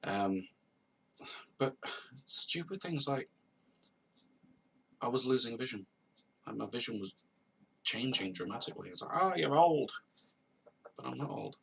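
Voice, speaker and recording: A man's voice: mid-range voice, expressive, unhurried, gravelly, pauses often, fairly bright tone, recorded from a distance, a little hiss or hum, low-quality recording. A man in his thirties telling his own story to camera in an earnest, confessional way, repeating phrases for emphasis. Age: 30s. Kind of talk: personal testimony to camera. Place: at home, on a video call setup.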